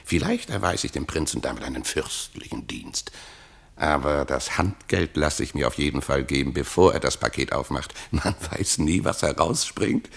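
An actor playing a pompous, hectoring rogue who poses as a haughty courtier in a theatrical radio play. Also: male voice, very deep voice, vocal fry, slightly rough, full character voice. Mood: sly, scheming. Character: swaggering rogue posing as a haughty royal courtier.

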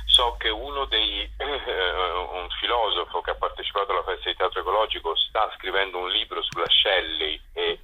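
A man's voice: sits low, pushed and bright, slightly rough. A man giving reflective, hesitant answers in a casual interview, searching for words as he goes. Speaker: translator being interviewed. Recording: dull phone call, lo-fi radio sound.

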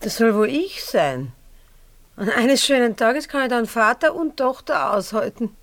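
Shrewd Viennese baroness, swooping intonation. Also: female voice, on the high side, lively intonation, unhurried. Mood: pointed. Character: shrewd Viennese baroness, coolly meddling and insinuating. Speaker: radio play actor. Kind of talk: naturalistic radio drama dialogue.

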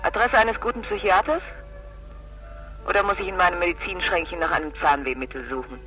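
A woman's voice: on the low side, a little fast, bright, forceful tone, faint music behind, rough recording. A woman playing a curt, flippant young woman who coolly dismisses people in a 1960s radio crime drama. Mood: cool annoyance.

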